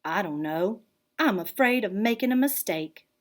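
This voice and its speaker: A woman's voice: mid-range voice, animated, normal speed, lightly acted. A woman voicing an easygoing young cat in a picture book, with simple, laid-back phrasing. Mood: discouraged. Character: easygoing groovy young cartoon cat, sincere and artistic.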